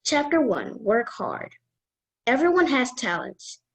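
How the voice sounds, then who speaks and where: A woman guest reader reading a children's book aloud in a deliberate way. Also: on the high side, huge pitch swings.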